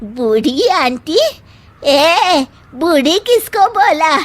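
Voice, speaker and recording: Woman doing an older mom-in-law, fussy and scolding, slow dragged words, cartoon voice. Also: high voice, big pitch swings, full character voice. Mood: irritated. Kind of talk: Hindi cartoon voice acting.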